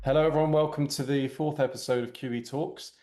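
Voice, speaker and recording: A man's voice: a little high, fairly even intonation, quick pace, darkish tone, faint music behind. A man hosting a podcast interview, reading scripted intros in a polished manner and handing over to guests. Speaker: podcast host.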